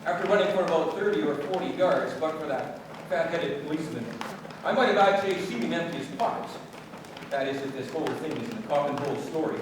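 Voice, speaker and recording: An actor playing an excitable, indignant gentleman in a radio play, exclaiming in old-fashioned style. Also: fairly high pitch, brisk, close to an everyday voice. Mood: anxious, searching. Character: excitable, exasperated English gentleman hunting stolen pearls. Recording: echoey room, distant mic, clear background noise.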